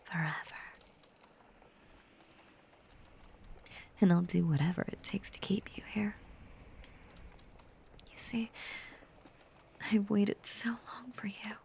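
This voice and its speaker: A woman playing a possessive, obsessively devoted female werewolf lover in an intimate roleplay audio, breathy and whispery, with lingering pauses. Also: mid-range voice, a little mellow, slight background noise. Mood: possessive, tender. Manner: slow, lingering, intimate delivery with long pauses.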